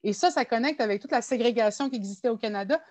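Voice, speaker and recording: A woman's voice: a little high, quick pace, darkish tone, no room sound. A woman, speaking casually on a panel and dotting her talk with fillers. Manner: conversational, many "tu sais" fillers. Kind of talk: panel discussion.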